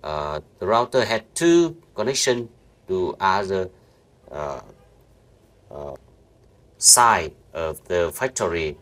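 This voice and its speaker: A man with a low voice and guides viewers through a screen-recorded lesson. He talks slowly, piecing sentences together with frequent fillers and false starts. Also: big pitch swings, fairly bright tone. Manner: halting, many fillers and restarts. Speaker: networking instructor. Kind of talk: screen-recorded software walkthrough.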